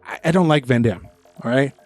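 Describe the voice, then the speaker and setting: A man's voice: mid-range voice, brisk, a little mellow, dry recording. A man co-hosting a podcast, giving opinionated, informal review chat with bits of trivia. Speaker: podcast co-host.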